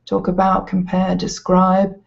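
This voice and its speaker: A woman's voice: on the low side, monotone, vocal fry. A woman giving an informal, hesitant walkthrough as an educational presenter. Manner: hesitant, halting delivery. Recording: slightly dull, lo-fi sound.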